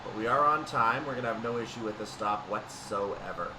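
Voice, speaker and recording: A man's voice: lowish voice, fairly quick. A man giving play-by-play commentary on a train simulator game, narrating his own actions in announcer-like phrases. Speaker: video game streamer. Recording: distant mic, loud vehicle-like background noise.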